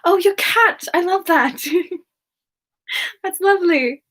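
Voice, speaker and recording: A woman hosting a semi-ASMR question session, chatting casually in a soft-spoken, gentle and affectionate manner. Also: very high voice, slow. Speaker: ASMR channel performer hosting a live Q&A in character.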